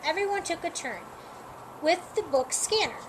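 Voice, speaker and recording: A woman with a high, very lively voice reads a picture book to children. She stresses and stretches key words in an expressive storytime delivery. There is noticeable background noise in the recording. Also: poor audio. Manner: animated, emphatic, drawn-out key words. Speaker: storytime reader.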